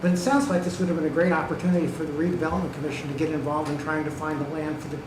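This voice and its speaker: A man's voice: a little high, flat delivery, racing. A man giving public comment at a county meeting, pressing his points emphatically and checking in with "okay" and "right". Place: county government meeting room. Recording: echoey hall with background noise.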